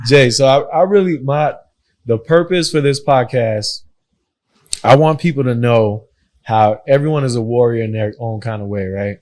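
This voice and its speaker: A man's voice: medium pitch, normal speed, a little mellow. A man who grew up between Haiti and Miami, hosting a podcast interview in a casual, affirming way and often repeating his phrases. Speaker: podcast host.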